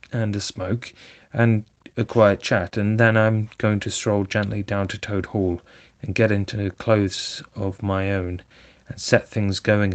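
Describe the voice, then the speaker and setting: A man reading aloud and voicing a conceited, boastful male toad who turns humble and fretful. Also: lowish voice. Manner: humble, then fretful and pleading.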